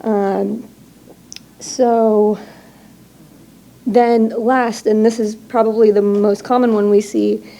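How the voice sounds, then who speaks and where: Mellow-voiced woman explaining at a workshop. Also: medium pitch, takes it slowly, vocal fry, pauses often, poor audio. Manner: steady explaining, frequent ums and you knows. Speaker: legal aid presenter. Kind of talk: public workshop talk. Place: expo hall.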